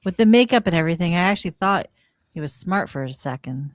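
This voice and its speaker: Casting: woman as cheeky young pilot, slightly low voice, teasing, dry close radio-play sound. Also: expressive, fast, no echo, lightly acted. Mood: mocking. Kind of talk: live radio theatre script read.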